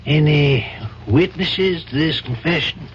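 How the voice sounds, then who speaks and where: Wise older American judge, avuncular, slow delivery, slightly high pitch, dull old radio recording. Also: male voice. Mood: probing. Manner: measured, reasoning, avuncular. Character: wise, compassionate older male judge, calmly probing. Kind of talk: 1970s radio drama acting. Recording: muffled, noisy old radio broadcast.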